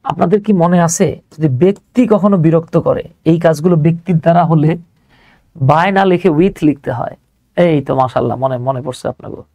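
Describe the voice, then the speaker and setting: A man's voice: on the high side, fairly quick, warm tone, dry recording. A man with a Bangladeshi accent teaching a live online grammar lesson step by step, informal and chatty, often putting questions and answering them himself.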